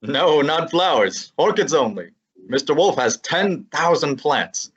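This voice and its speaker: A woman playing a breezy, sarcastic young male assistant detective in a student radio drama, with a husky voice. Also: deep voice, expressive, a little slow, full character voice. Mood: wisecracking. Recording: dull lo-fi recording.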